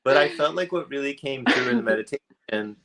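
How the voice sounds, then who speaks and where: A man's voice: mid-range voice, brisk, a crowd in the background. A man teaching meditation in a casual, rambling way, full of fillers and easy laughter.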